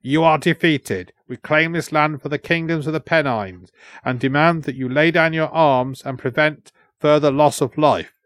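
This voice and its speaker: A man's voice: a little high, moderate pace, fairly bright tone, no echo, clean studio sound, pitched above the performer's own voice, close to an everyday voice. A man voicing a taunting young warrior who declaims a conquering king's demands in a storybook. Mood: commanding.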